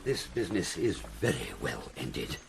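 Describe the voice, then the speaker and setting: An actor playing a pompous, long-winded, fussy older royal counsellor in a classical Shakespearean drama. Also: male voice, very deep voice, big pitch swings, normal speed, a little hiss or hum, exaggerated voice. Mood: pompous, deliberate. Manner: pompous, self-satisfied, wordy.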